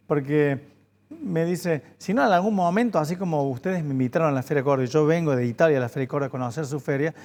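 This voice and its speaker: A man's voice: a little high, quick pace, darkish tone. A man, a bookseller guest giving earnest interview answers and insisting on his points over and over. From Argentina. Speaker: bookseller association president, guest.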